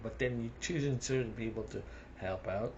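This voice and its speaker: A man's voice: medium pitch, moderate pace, background noise. A man with a South African accent rambling through a casual monologue, chuckling between his thoughts.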